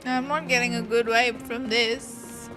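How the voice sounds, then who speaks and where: A woman's voice: on the high side, steady tone, a little slow. A young adult woman streamer chatting informally as she reacts live to a game. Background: game music.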